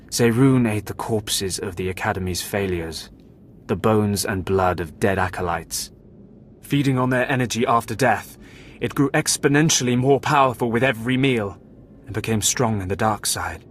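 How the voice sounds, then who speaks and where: A man's voice: fairly low pitch, a little hiss or hum, lightly acted. A man playing a somber Sith academy keeper. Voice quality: measured, somber. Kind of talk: video game voice acting.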